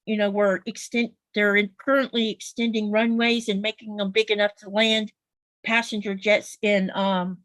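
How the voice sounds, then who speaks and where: A woman's voice: mid-range voice, little pitch movement, normal speed, a bit bright, no room sound. A woman with a Southern US accent, chatting casually on a livestream in an explanatory, folksy way. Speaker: quilter guest on a livestream.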